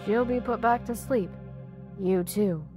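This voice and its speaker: A woman's voice: medium pitch, a little slow, over music, close to an everyday voice. An actress playing a cold, commanding woman in authority in a sci-fi visual novel, firm and matter-of-fact. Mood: stern. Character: cold, commanding female authority over angels. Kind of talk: scripted visual novel voice acting.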